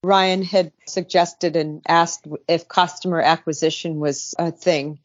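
A woman's voice: on the low side, fairly even intonation, unhurried, dry recording. A woman speaking conversationally as a company co-founder being interviewed. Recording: dry but dull, lo-fi audio.

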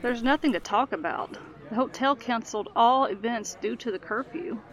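A woman's voice: a little high, normal speed, lightly acted, low-quality recording. A woman acting a downbeat diner waitress in an audio drama, with a busy crowd behind her. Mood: glum.

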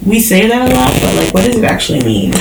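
A woman's voice: mid-range voice, very animated, quick pace, gravelly, echoey room, noisy background. A woman from Jamaica hosting a podcast, chatting casually and teasing with frequent laughs. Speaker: podcast host. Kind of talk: casual chat. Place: bedroom.

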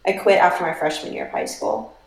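Young woman guest chatting, kinda low voice, lots of um and like, echoey room. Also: very animated, fast, creaky. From Minnesota, raised in Virginia. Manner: hesitant, many fillers. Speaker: guest, golfer. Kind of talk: casual podcast chat.

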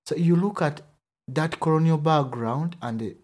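A man's voice: fairly high pitch, normal speed, darkish tone. A man from Uganda with a Ugandan accent, whose first language is Luganda, talking earnestly in a podcast conversation with frequent restarts. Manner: earnest, emphatic, with hesitant restarts.

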